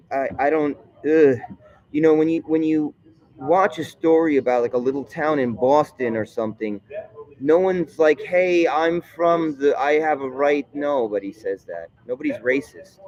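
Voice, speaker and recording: A man talking in a casual vlog monologue, rambling and digressive, often halting mid-thought. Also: a little high, darkish tone, dull audio. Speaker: vlogger.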